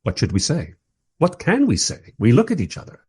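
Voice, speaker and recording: A man's voice: fairly high pitch, wildly swooping pitch, brisk, a little mellow, slightly rough, heavily acted. A man playing a bemused documentary interviewer who narrates a radio play in a dry, reflective, self-deprecating way. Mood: uneasy, bemused. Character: wry, bemused male documentary interviewer narrating his journey.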